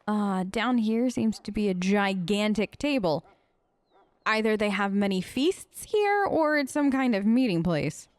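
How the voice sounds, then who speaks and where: A woman's voice: mid-range voice, lively intonation, unhurried, dry recording. A woman giving casual, wry gaming commentary as a let's play host, chatting in character on a close mic.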